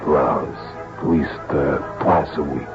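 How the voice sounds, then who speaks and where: An actor playing a chatty, cagey music hall boss in an old-time radio drama, talking in a halting way. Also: male voice, extremely low pitch. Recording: dull lo-fi old radio recording, music behind.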